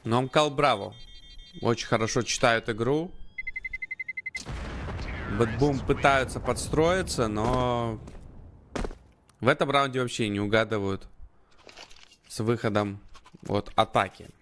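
Male esports analyst over clear game gunfire. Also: mid-range voice, measured pace, pauses often, noisy background. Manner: conversational, colloquial gamer slang. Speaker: esports co-commentator. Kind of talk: live match commentary and analysis. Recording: gunfire and game sounds behind. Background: gunfire, clicks from the game.

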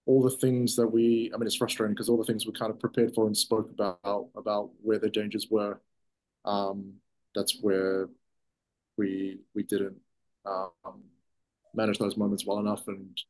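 A man's voice: on the low side, monotone, darkish tone, poor audio. A man in his thirties, a soccer defender, answering postgame press questions over a video call, stopping and restarting his sentences often. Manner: halting, many restarts and fillers. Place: press room in front of sponsor backdrop.

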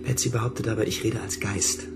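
An actor playing an irritable, suspicious husband in a radio drama, answering curtly and defensively. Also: male voice, on the low side, fairly even intonation, a little fast, gravelly, over loud music. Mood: wary, defensive. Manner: curt, indignant, suspicious. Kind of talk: German radio drama acting.

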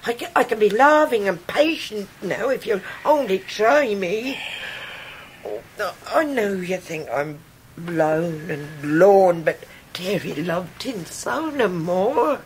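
Slow, plaintive old widow. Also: female voice, mid-range voice, animated, slight background noise, poor audio. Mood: pleading. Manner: beseeching, plaintive. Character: forlorn, devoted elderly widow housekeeper. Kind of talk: 1990s radio drama acting.

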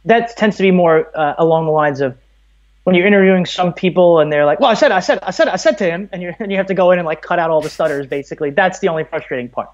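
A man's voice: high voice, quick pace. An American man in his thirties from Brooklyn, talking at length and explaining things as a guest in a casual interview. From Brooklyn, New York, USA. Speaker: podcast guest, audio producer. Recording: slightly dull, muffled audio.

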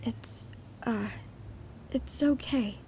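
A gentle young woman played by an actress in a fan drama, tearful and halting. She speaks very slowly in a soft, mellow, slightly high voice, with faint noise behind her. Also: whispered. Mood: hesitant, soft. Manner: hesitant, halting. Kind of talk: fan audio drama dialogue.

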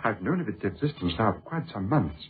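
A man acting in a radio drama as a secretive British archaeologist, hesitant and stammering, diffident but quietly excited about his work. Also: lowish voice, fast talker, a little hiss or hum. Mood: quiet wonder. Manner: hesitant, stammering, politely evasive, old-fashioned educated speech. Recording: muffled lo-fi old radio recording.

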